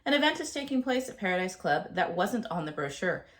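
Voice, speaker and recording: Woman, 30s, slightly low voice, stuffy nasal cold, a bit gravelly, chatty, lots of ums. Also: big pitch swings, fairly quick. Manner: chatty, with frequent ums and uhs. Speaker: book vlogger. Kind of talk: casual chat to camera.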